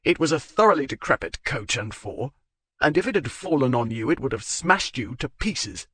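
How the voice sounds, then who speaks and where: A man voicing a hot-tempered, bossy young gentleman who speaks in curt commands. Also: mid-range voice, very animated, moderate pace, husky, dry recording, exaggerated voice. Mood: angry.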